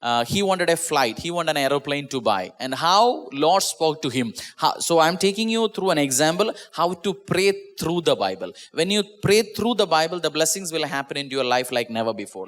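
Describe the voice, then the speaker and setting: A male pastor with an Indian English accent and Malayalam as his first language, preaching an online sermon from a small church. His voice sits fairly high for a man. He speaks earnestly, restarting and repeating words, and lets his pitch fall at the ends of phrases. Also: fairly bright tone.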